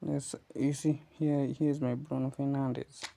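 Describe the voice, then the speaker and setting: A man talks slowly and gravelly, his pitch staying flat as he explains step by step. His is Kiswahili, and he checks in with his viewers as he goes. The sound is recorded on a phone. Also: a little hiss or hum. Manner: explains patiently to viewers, often asking "you see?". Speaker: gaming channel host. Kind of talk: casual gameplay tutorial commentary.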